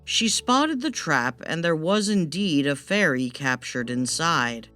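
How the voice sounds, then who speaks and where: A woman's voice: deep voice, lively intonation, takes it slowly, pushed and bright, faint music behind. A woman narrating a scripted fairytale as a storyteller.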